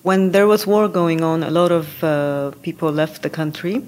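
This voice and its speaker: A woman who grew up in Japan, a furniture designer, speaking calmly and reflectively in an interview with pauses and fillers. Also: deep voice, a little hiss or hum. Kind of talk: interview answers.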